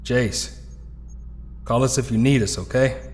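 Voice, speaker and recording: A man's voice: mid-range voice, a little slow, darkish tone, lightly acted. An actor playing a somber, loyal young male hero in an audio drama. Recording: reverberant hall sound.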